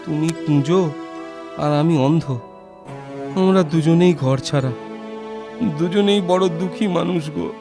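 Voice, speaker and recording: High-voiced, plaintive blind young man. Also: over music. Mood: weary, sorrowful. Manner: plaintive, pleading, halting.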